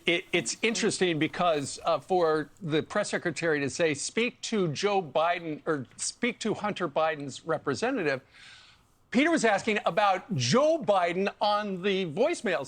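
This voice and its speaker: A man speaking as a guest on a television news panel, halting and restarting his phrases. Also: fairly high pitch, a bit bright. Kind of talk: cable news panel talk.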